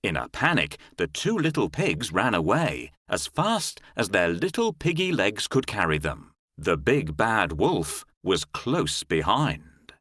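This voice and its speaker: Deep, very expressive male storyteller. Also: unhurried, few pauses, dry recording, studio quality. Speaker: storybook narrator. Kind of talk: scripted fairy tale narration for children.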